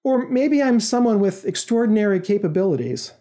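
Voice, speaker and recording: Enigmatic woman avatar, read by a man: teasing, controlling, quietly menacing, very soft mellow tone. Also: high-pitched, animated, fairly quick, voice pushed up. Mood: assured, menacing. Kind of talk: audiobook-like story reading.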